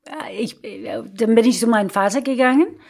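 A middle-aged woman with an American accent and English as her first language, speaking German haltingly with many fillers and restarts as she tells her life story in an interview. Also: a little high, vocal fry, a little mellow. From United States. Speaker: guest, actress. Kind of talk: casual interview, personal story.

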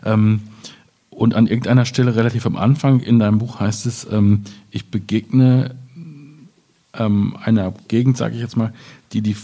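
A man's voice: on the low side, fairly even intonation, moderate pace, warm tone. A man in a literary discussion, musing hesitantly and often checking back with short tag questions. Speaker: author in discussion at a reading event.

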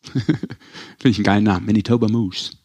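A man's voice: lowish voice, steady tone, fast, a little mellow. A man hosting a casual sports podcast, chatting and teasing among colleagues. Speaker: podcast host.

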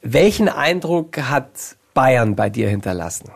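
A man's voice: medium pitch, sing-song, measured pace, creaky, slightly rough. A man hosting a radio interview in a casual, chatty, informal way, putting questions to his guest.

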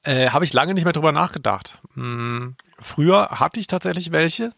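A man's voice: on the high side, lively intonation, normal speed, gravelly, fairly bright tone, no room sound, studio quality. A man co-hosting a podcast, chatting casually in a laid-back, hesitant and colloquial way. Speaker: podcast co-host.

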